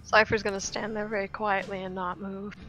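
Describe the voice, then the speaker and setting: A woman's voice: medium pitch, flat delivery, a little fast, fairly bright tone, faint music behind, poor audio. A woman playing a tabletop roleplaying game, narrating what her character does.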